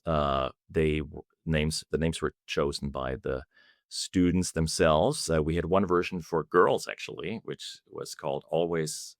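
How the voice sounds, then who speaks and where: A middle-aged man from Germany with a German accent, giving measured, explanatory answers as an interview guest. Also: deep voice, a bit bright, dry recording, studio quality. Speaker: guest, company founder.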